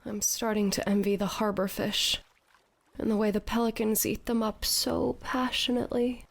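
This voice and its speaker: A woman's voice: fairly high pitch, little pitch movement, normal speed, creaky. An actress playing a sardonic, lovelorn woman aboard a tall ship in an audio drama, sarcastic and given to dramatics. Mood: melodramatic, forlorn.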